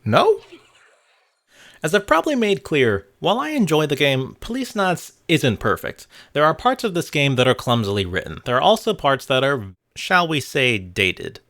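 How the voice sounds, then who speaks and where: A man's voice: mid-range voice, very animated, moderate pace, fairly bright tone. Guy doing video game essay narration, sarcastic and chatty, voice swings up and down a lot. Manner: sarcastic, conversational. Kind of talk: scripted video game commentary.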